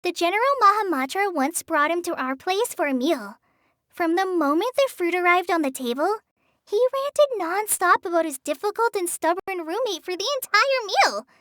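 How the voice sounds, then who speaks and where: A woman's voice: extremely high pitch, lively intonation, no room sound, clean studio sound. A woman voicing a shy, earnest teenage girl in a video game, hesitant and apologetic in the way she talks. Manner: hesitant, apologetic, soft-hearted, halting with stammers. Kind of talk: video game character voice lines.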